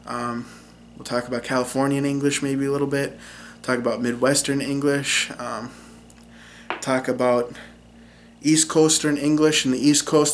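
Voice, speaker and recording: A young man with a central Minnesotan accent talks to camera, relaxed and explanatory, with lots of ums and you-knows. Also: medium pitch, a little hiss or hum. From Minnesota, USA. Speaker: linguist and YouTube English teacher. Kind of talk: casual talk to camera.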